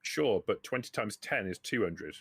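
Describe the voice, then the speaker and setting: A man's voice: fairly low pitch, animated, normal speed, slightly rough, no room sound, clean studio sound. A man speaking casually as game master of a tabletop game, explaining and rephrasing as he goes.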